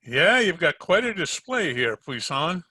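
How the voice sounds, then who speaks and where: Very bright-voiced wisecracking private eye acted by a man. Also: a little high, sing-song, measured pace, breathy edge, full character voice. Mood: casual, probing. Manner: wry, slangy, unflappable. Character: wisecracking, unhurried male hardboiled private detective. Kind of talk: old-time radio detective acting and narration. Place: home, recorded over a video call.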